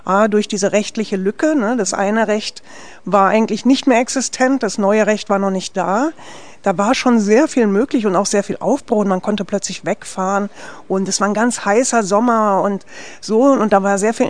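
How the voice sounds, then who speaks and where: A woman from West Berlin talks through her work as a film director in a relaxed interview. Her speech is chatty and full of restarts. She keeps tagging a quick "ne?" onto her sentences. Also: mid-range voice. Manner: lively, tags sentences with "ne?", frequent restarts. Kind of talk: conversational interview answers.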